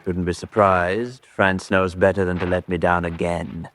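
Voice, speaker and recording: An actor playing a cold, suave, middle-aged spymaster who poses as a country gentleman, haughty and deliberate, in a radio drama. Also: low-pitched, flat delivery, a little hiss or hum. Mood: calm, commanding.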